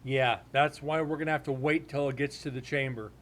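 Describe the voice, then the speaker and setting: A man's voice: mid-range voice, steady tone, a little fast, a bit bright, a little hiss or hum. Chatty blunt man on a livestream. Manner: chatty, blunt and joking, with swearing. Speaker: paranormal investigator reviewing evidence. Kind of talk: casual livestream chat.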